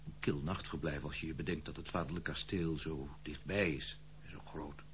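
An actor playing a charming, spendthrift prodigal son in an old Dutch radio play, pleading in a theatrical, hesitant way. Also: male voice, rumbling low, steady tone, moderate pace. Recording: muffled phone-like old radio recording, hum.

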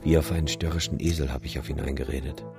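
Very deep, gravelly grave male narrator. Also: fairly even intonation. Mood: grave, haunted. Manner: deliberate, detailed recollection. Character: grave male narrator recalling a disturbing rural memory. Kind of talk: radio drama monologue. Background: music.

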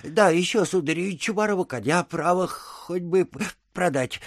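A man's voice: sits high, moderate pace, dry recording, clean studio sound. An actor in an audio drama playing a meek, muddled peasant coachman, stammering and servile toward his master. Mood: pleading. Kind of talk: theatrical audio-drama acting.

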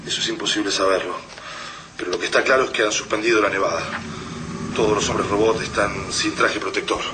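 An Argentine actor playing a tense, commanding army lieutenant in a radio drama, terse and urgent. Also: male voice, lowish voice, steady tone, fast, noisy background, natural voice. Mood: grave, analytical. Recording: loud music and battle effects behind.

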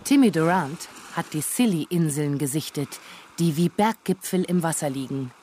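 A woman narrating a literary radio drama in a deliberate, observational way. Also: sits low, lively intonation, dark tone, a little hiss or hum.

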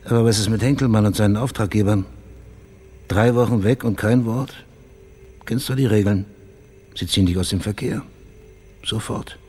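Low-voiced, cool private detective. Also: male voice, moderate pace, long pauses, darkish tone, breathy edge, faint music behind. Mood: pressing. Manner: controlled, sardonic, probing. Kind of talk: naturalistic radio crime drama acting.

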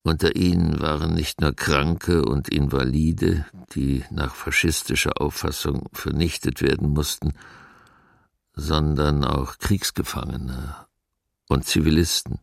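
A very deep, gravelly male witness. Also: steady tone, a little slow, no echo, studio quality, full character voice. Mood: grave, haunted. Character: grave male eyewitness recalling forced labour among the dead. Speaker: voice actor in a documentary radio play. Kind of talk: sombre first-person testimony, read dramatically.

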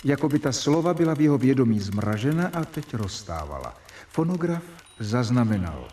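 An actor playing a young doctor who reads his research diary aloud in a measured, earnest way in a radio drama. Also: mid-range voice, very animated, warm tone, close to an everyday voice. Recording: distant mic with faint hiss.

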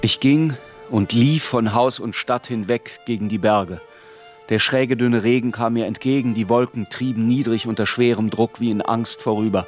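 An actor playing a man recalling his youth, narrating a literary radio drama in a measured, grave, reflective way. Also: lowish voice, darkish tone, lightly acted.

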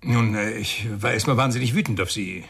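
Hesitant, creaky-voiced shaken father. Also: lowish voice, a bit bright, full character voice. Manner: hesitant, halting. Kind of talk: German radio crime drama acting.

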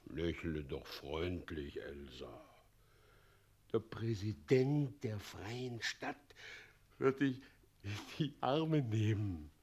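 An actor in a German radio play playing a pompous, volatile city president and bridegroom, theatrical, swinging between coaxing murmurs and barked orders. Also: male voice, lowish voice, big pitch swings, very slow, a little mellow, breathy edge, full character voice. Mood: cloying, coaxing.

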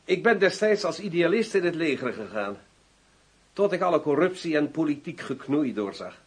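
An actor playing a cynical, smoothly menacing ex-general turned arms dealer in a radio drama, husky and formal in his delivery. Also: a little high, sing-song, normal speed. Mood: disdainful.

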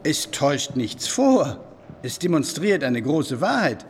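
An emphatic, proud medieval inventor in a German radio drama. His voice sits fairly high and moves up and down freely as he explains. Background ambience is clearly audible. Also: big pitch swings, slow pace, slight background noise, exaggerated voice. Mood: proudly explaining. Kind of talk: German radio play dialogue.

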